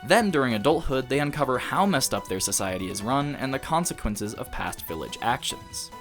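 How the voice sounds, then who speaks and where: A man's voice: mid-range voice, expressive, a little fast, music in the background. A man delivering scripted video essay narration as the host of an anime review.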